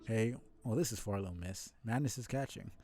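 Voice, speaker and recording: A man's voice: lowish voice, a little fast, warm tone, close to an everyday voice. A man reading out the lines of a soothing video game character who offers comfort.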